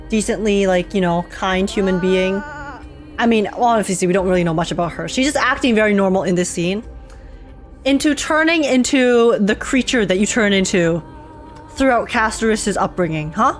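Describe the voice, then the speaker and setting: A woman streaming a video game, reacting to the story in casual, animated, expressive commentary and talking back to it. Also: medium pitch, normal speed. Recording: game music behind the voice. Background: video game music.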